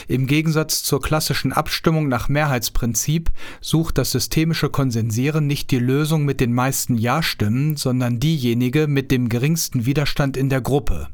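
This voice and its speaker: A man narrating a calm, scripted explanation. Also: medium pitch, moderate pace, few pauses.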